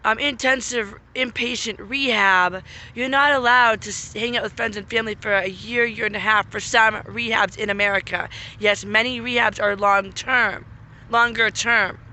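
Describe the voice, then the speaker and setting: A man who is losing his voice and getting sick, making an earnest, repetitive spoken appeal and often clearing his throat. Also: sits high, steady tone, moderate pace, pushed and bright, poor audio. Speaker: vlogger making a public appeal. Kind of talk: unscripted appeal to camera.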